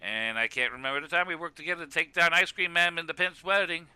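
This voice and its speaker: A man's voice: fairly high pitch, fast talker, bright, forceful tone, clear, resonant tone, no echo. A man acting a heartfelt radio co-commentator in a web cartoon, speaking in an earnest, emphatic, speechifying way. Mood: passionate. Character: loud heartfelt radio co-commentator consoling his rival. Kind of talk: animated web cartoon dialogue.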